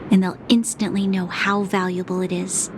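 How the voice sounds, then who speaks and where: A woman's voice: mid-range voice, little pitch movement, natural voice. A woman playing a young, principled guard commander in a video game cutscene, speaking formally and earnestly, with compassion. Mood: kind, firm. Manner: formal, earnest, measured phrasing. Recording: clearly noisy game ambience behind voice.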